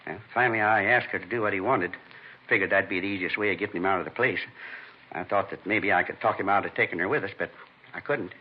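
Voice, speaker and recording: An actor playing a shaken, indignant man of fifty-two in a vintage radio drama, with an American accent and halting, earnest, plain speech. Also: sits low, close to an everyday voice. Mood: distressed, bitter. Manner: halting, earnest, plain-spoken, repeats himself. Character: shaken, indignant fifty-two-year-old husband, a robbery victim. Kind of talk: 1950s radio acting. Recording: muffled, noisy old radio recording.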